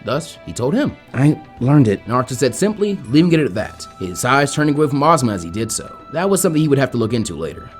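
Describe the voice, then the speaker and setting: A man's voice: mid-range voice, very animated, quick pace, few pauses, faint music behind. A man reading a scripted story aloud as a fan fiction narrator.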